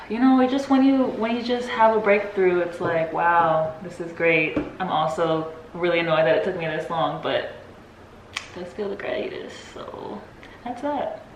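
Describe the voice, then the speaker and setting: A woman's voice: fairly low pitch, a little slow. Giggly young woman, echoey recording. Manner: giggly, chatty, easygoing. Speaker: guest athlete. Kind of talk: casual Q&A chat. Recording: echoey with faint noise.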